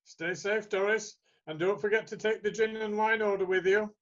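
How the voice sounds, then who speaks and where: A man's voice: high-pitched, fairly even intonation, moderate pace, a bit bright, highs cut off. A man playing a wry, jokey older friend in an amateur comedy sketch. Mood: teasing.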